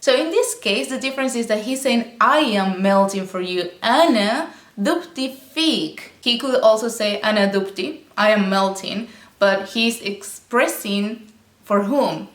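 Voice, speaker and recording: A woman's voice: mid-range voice, animated, a little slow, roomy sound. A woman teaching Arabic by explaining song lyrics line by line, patient and explanatory, often checking in with "okay?".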